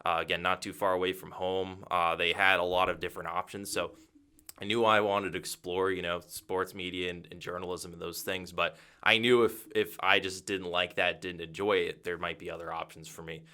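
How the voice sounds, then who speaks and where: A man in his twenties from Massachusetts, a radio sports broadcaster answering interview questions in a measured, thoughtful way. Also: sits low, little pitch movement, pushed and bright, smooth. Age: 20s. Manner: measured and thoughtful, frequent "um" and "you know".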